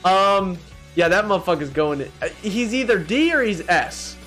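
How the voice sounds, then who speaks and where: A young man with a slightly high voice, set over music. He gives casual gaming commentary as a video host, opinionated and full of slang and swearing. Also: expressive, moderate pace, bright, forceful tone. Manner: slangy, profane, opinionated, exclaiming. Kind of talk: casual tier-list commentary.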